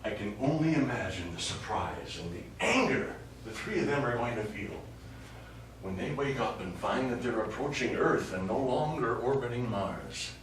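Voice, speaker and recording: A man's voice: mid-range voice, lively intonation, normal speed, close to an everyday voice. A man on stage playing a wry, wistful astronaut who is secretly an alien with a scheme. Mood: musing, quietly satisfied. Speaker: stage actor in a one-act play. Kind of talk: live stage drama monologue. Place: small indoor studio theatre stage. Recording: echoey small theatre, distant mic, faint noise.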